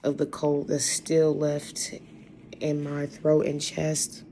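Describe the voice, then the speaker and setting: A woman with a voice hoarse from a cold, chatting casually to her viewers and telling a long personal story. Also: deep voice, completely flat delivery, slow, mellow, a little hiss or hum. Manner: chatty, rambling, addresses viewers as y'all. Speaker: vlogger.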